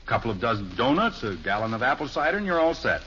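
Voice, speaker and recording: Animated, blustery American radio dad. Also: male voice, medium pitch, fast talker. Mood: hearty, persuading. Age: middle-aged. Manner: emphatic, indignant, hearty. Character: blustery, nostalgic middle-aged suburban father, easily exasperated. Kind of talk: 1950s radio sitcom acting. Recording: dull, noisy old radio broadcast recording.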